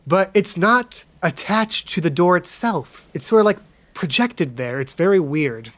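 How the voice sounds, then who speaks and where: High-voiced man running a game. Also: animated, measured pace. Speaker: game master and podcast host. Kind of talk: casual narration of a tabletop game.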